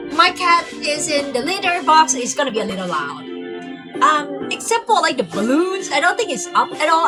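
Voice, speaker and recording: Chatty young woman streamer, lively pitch. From Thailand. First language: not English. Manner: rambling, filler-heavy, frequent restarts. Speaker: game streamer. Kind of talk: casual livestream commentary.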